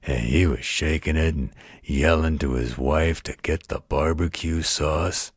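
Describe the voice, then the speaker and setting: An extremely low, heavily rasping werewolf played by a man, gruff but gentle and protective. He speaks in a folksy, unhurried way, as in a bedtime roleplay audio, close and dry. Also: no echo, full character voice. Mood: amused storytelling. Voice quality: deep, gravelly, husky. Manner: folksy, slow, patient drawl with colloquial turns.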